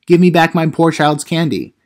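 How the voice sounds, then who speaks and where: A man's voice: on the high side, normal speed, voice pushed up, lightly acted. A man voicing an indignant, bossy small-town mother making threats in a comedy audio play.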